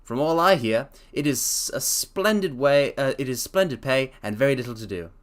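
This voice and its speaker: A man's voice: mid-range voice, moderate pace, lightly acted. A man voicing an eager young shop assistant who talks his employer into something in a coaxing way.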